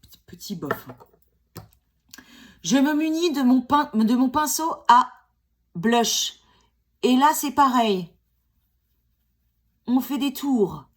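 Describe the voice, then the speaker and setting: A woman in her forties with a cold, chatting casually and joking about herself while she does her makeup at home. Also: fairly high pitch. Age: 40s. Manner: chatty, self-mocking, many fillers and restarts. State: has a cold. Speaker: beauty vlogger. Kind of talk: casual makeup tutorial chat.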